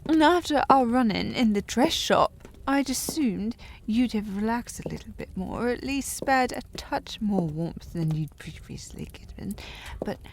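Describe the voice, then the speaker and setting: A woman acting a haughty, slightly tipsy young upper-class lady in a historical romance audio drama, with prim formal diction and a breathy voice that often drops to a whisper. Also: on the high side, expressive, a little slow, slight background noise. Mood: indignant, scolding. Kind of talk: historical romance audio roleplay, intimate one-sided dialogue.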